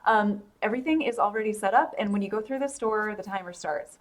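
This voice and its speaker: An actress playing a self-assured, businesslike government aide in an audio drama, brisk and frank. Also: female voice, mid-range voice, lightly acted. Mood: brisk, instructive. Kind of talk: full-cast audio drama acting.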